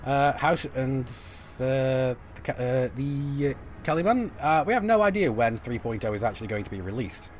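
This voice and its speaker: A man's voice: mid-range voice, animated, moderate pace, hardly any pauses, a little hiss or hum. A middle-aged man, chatting casually on a live stream while he plays, reading and answering viewer messages with lots of fillers and restarts. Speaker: game streamer.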